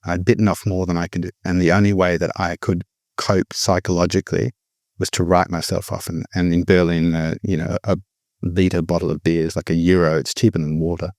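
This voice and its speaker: Deep low-voiced aussie guy, middle-aged, candid and reflective, dry close sound. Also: level tone, brisk, a little mellow, dry recording. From born in the Philippines, raised abroad. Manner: reflective, candid, with frequent restarts. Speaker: guest sharing recovery story. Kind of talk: personal storytelling.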